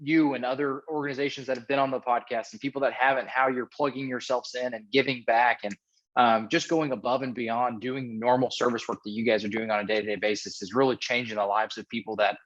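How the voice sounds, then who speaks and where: A man's voice: medium pitch, steady tone, quick pace, a bit bright, muffled recording. A man hosting a podcast, eager and stumbling over repeated words. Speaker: podcast host.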